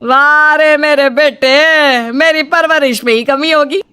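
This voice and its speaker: A woman's voice: sits high, steady tone, slow pace, bright, forceful tone, no echo, full character voice. A woman voicing an indignant, domineering middle-aged mother-in-law who scolds dramatically in a comic family story. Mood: wounded, dramatic.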